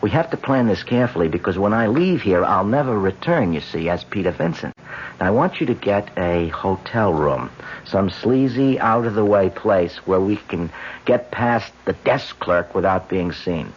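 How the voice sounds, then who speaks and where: A man's voice: low-pitched, lively intonation, normal speed. An actor playing a confident, scheming out-of-work stage man in an old-time radio drama, theatrical and self-assured. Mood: coolly scheming. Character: scheming, confident unemployed actor plotting insurance fraud. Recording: muffled, hissy old radio recording.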